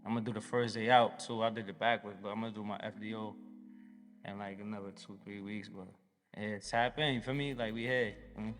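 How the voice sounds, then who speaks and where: A man's voice: fairly low pitch, fairly even intonation, fairly quick, big-room sound, soft background music. Laid-back man saying "you feel me" a lot. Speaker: guest artist. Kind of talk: casual interview chat.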